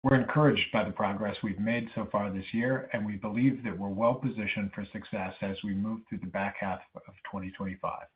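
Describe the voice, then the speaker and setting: A man's voice: lowish voice, steady tone, a little fast, few pauses. A man in the role of a company finance executive reads a formal, prepared presentation. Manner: formal, reading prepared remarks. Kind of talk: scripted earnings call presentation. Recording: muffled narrow phone line.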